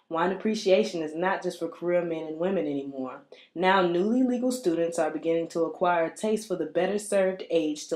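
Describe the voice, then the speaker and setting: Female reporter, young, lowish mellow voice, scripted feature narration, echoey room.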